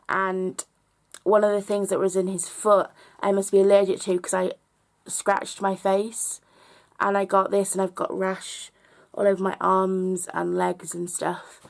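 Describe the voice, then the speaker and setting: A woman vlogger with a slightly hoarse voice, talking earnestly and reflectively straight to her viewers. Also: mid-range voice. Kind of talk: personal vlog monologue.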